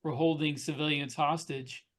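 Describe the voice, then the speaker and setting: Male podcast co-host and author, slightly higher register, conversational, thinks aloud with a halting delivery. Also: steady tone, a bit bright. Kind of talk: casual discussion of fiction writing.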